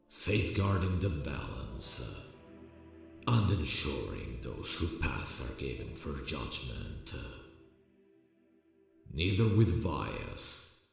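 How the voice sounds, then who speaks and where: In a cavernous, echoing space with music behind, a man performs an ancient male archangel with an extremely deep, slow voice. He is solemn and benevolent, speaking in grand, archaic phrases as in a fantasy audio drama. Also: vocal fry, recorded from a distance, heavily acted. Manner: grand, archaic, ceremonial speech. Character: solemn, benevolent old male archangel passing on his mantle.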